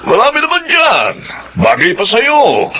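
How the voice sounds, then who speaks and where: A man's voice: extremely high pitch, huge pitch swings, measured pace, pushed and bright, heavily acted. An actor playing an indulgent, wealthy middle-aged father, persuasive and emphatic, in a melodrama. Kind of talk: Filipino melodrama acting.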